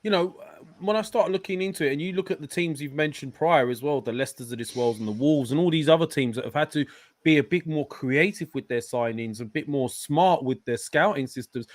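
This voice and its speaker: A man hosting a casual football discussion, animated and enthusiastic, turning to his guests with questions. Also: mid-range voice, no room sound. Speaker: show host.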